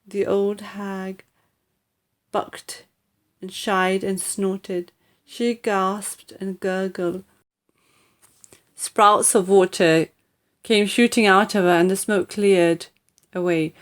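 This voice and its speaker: A woman reading a children's story aloud in a deliberate, dramatic and expressive narrating style. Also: mid-range voice. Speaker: audiobook reader.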